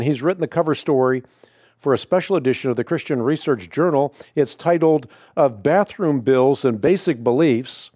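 A man hosting a radio talk show, speaking in a measured, emphatic way and stressing key words. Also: fairly high pitch, dark tone, dry recording, studio quality. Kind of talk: scripted monologue then interview.

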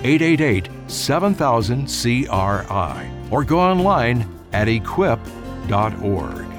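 Male announcer, scripted promo, animated, polished, music bed. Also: medium pitch, slow pace.

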